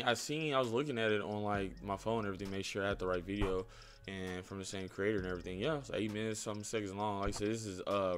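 A young man with a low voice talks casually as the host of a reaction video. His speech is informal and slangy, and he tends to repeat phrases. He is close to the microphone, with music playing behind him. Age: young adult. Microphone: close desk microphone.

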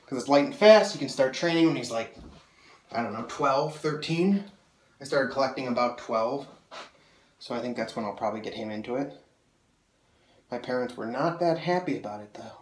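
A man's voice: mid-range voice, lively intonation, normal speed, pauses often, distant mic. A young man talks at the camera in a small room, his voice a little nasal as he gets over a cold. He rambles eagerly with plenty of fillers and restarts. The mic sits a few feet away and catches a roomy echo off the walls. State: slightly nasal, coming off a cold. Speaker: sword collector reviewing blades. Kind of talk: casual video review. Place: small room full of swords, indoors. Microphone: camera mic from several feet away.

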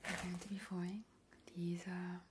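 A woman playing a playful, ghoulish vampire in a whispered ASMR roleplay, breathy and slow, with soothing relish. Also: lowish voice, little pitch movement, natural voice. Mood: hushed, ghoulish. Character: playful, ghoulish immortal female vampire baking gruesome treats. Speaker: ASMR host in character. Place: home kitchen, indoors. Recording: noticeable background hiss, soft clicks.

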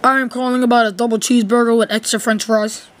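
A woman's voice: fairly high pitch, fairly even intonation, fast talker. A young woman chatting casually in a bossy, teasing, slangy way while making prank calls. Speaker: prank caller. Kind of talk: casual chat. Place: room at home. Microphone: handheld camera or phone. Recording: noisy handheld amateur recording with clicks.

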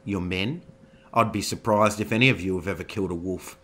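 A man's voice: sits low, fairly quick, a bit bright, husky. A man voicing a ruthless female military captain who taunts everyone in the hall. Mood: taunting. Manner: taunting, cold.

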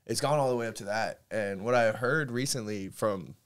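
A young man in his twenties co-hosting a casual podcast, telling relaxed, joking stories into a stand mic in a small studio. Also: on the low side, normal speed, clean tone. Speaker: podcast co-host, bartender. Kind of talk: casual chat. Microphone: studio mic on stand, headphones.

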